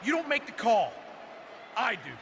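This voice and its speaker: An actor playing a proud, angry champion wrestler in a video game, confrontational and forceful. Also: male voice, extremely high pitch, sing-song, moderate pace, crowd noise, background noise, full character voice. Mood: indignant.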